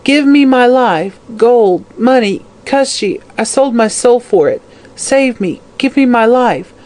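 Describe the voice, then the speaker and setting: A woman voicing a dying, greedy old hag in an audiobook, shrill and harsh, wailing, pleading and cursing in terror. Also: animated, measured pace, a little mellow, lightly acted. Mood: desperate bargaining.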